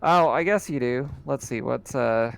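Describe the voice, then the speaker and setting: A man running a tabletop game as game master, relaxed and often laughing as he explains rules. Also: medium pitch, a little slow, vocal fry, clean tone.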